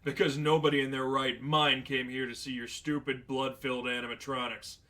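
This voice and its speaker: Guy voicing a deadpan veteran demon hunter, crisp forceful mid-pitched voice, wordy, smug and unbothered. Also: normal speed, lightly acted. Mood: unamused, sardonic. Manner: matter-of-fact, deadpan, wordy and flippant. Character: sardonic, unflappable veteran male demon hunter. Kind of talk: dramatized fan fiction reading.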